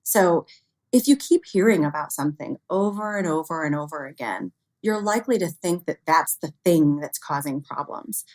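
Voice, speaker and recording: A woman's voice: sits low, lively intonation, moderate pace, a little mellow, rough recording. A woman speaking as an expert interview guest, explaining things conversationally with everyday examples. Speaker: interview guest, expert on human error in cybersecurity.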